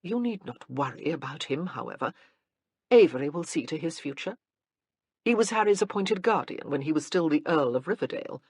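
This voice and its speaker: A woman plays a kindly middle-aged duchess who explains family matters gently. Her storybook voice moves a lot in pitch, slightly husky and dry. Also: animated, brisk, no echo, full character voice. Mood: reassuring.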